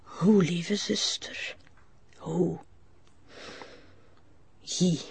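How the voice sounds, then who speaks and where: An actress playing a devout, authoritative convent nun with a husky voice, solemn and commanding. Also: female voice, on the low side, steady tone, extremely slow, a little mellow, exaggerated voice. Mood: grave, shaken. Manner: solemn, commanding, slipping into French. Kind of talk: audio drama acting.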